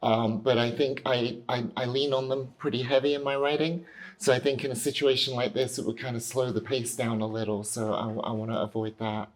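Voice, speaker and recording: A woman hosting a table read as director, briefing the group casually in halting, filler-heavy sentences. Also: very deep voice, steady tone, poor audio. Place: rehearsal studio.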